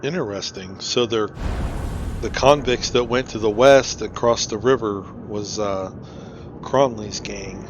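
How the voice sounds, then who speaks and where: A man's voice: lowish voice, a little slow, muffled recording, noisy background. A man giving casual, conversational commentary as he hosts a video game playthrough. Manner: informal, conversational. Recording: game music behind voice. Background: game music.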